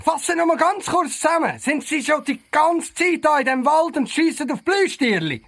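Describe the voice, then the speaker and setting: A man with a Swiss German accent playing an excitable, self-important, bossy detective with a creaky voice in a children's audio play. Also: very high-pitched, moderate pace, exaggerated voice. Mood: baffled, questioning. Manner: bossy, repeating himself, dramatic.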